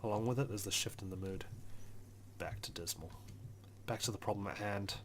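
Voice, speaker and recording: A man reading a video game's story aloud as a let's player, in an expressive, dramatic narrating style. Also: fairly low pitch, fairly quick, slight background noise. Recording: music behind the voice.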